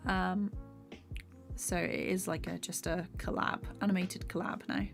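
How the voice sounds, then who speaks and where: A young woman animator chatting casually on a live stream while she works, rambling and soft-spoken, her voice a bit hoarse. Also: fairly low pitch, flat delivery, measured pace. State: slightly hoarse. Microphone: desktop USB microphone. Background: faint background music.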